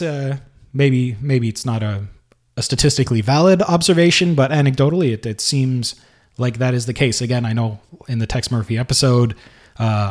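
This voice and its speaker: Man hosting a retro games podcast. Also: mid-range voice. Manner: unscripted, halting, many fillers and restarts. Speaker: podcast host. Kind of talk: casual solo podcast talk.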